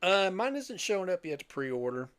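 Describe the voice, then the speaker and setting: A man's voice: high voice, very animated, normal speed, a bit bright, clear, resonant tone, no room sound. A middle-aged man chatting casually as a podcast host, rambling and jokey. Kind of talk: casual chat.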